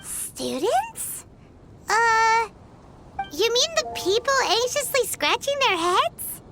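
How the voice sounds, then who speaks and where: Squeaky, extremely high tiny fairy. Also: female voice, very animated, slow pace, heavily acted. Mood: suspicious, sassy. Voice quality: squeaky, childlike. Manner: speaks of herself in third person, sassy. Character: tiny childlike fairy companion, excitable and blunt. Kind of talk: video game voice acting. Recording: loud music behind.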